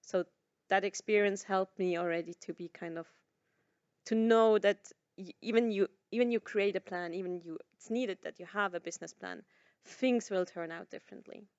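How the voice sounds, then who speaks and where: A woman's voice: medium pitch, moderate pace, darkish tone, clear, resonant tone, no echo, muffled recording. A woman who grew up in Switzerland, answering a forum question at length with many fillers and restarts. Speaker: social entrepreneur answering questions. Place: conference room.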